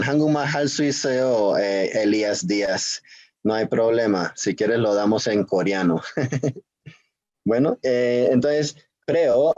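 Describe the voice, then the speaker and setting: A man's voice: mid-range voice, darkish tone, dull audio. A Colombian man giving an online programming class, with a slightly unusual Spanish accent, chatty and full of fillers and little check-in questions. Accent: slightly foreign-sounding Spanish. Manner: chatty, self-interrupting, many fillers and tag questions. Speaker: university lecturer. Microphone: video call.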